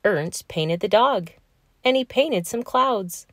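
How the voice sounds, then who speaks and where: A woman reading a children's storybook aloud in an expressive, animated storytelling manner. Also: mid-range voice, slow pace, no echo, clean studio sound. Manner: animated, emphatic storytelling delivery. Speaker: children's book reader.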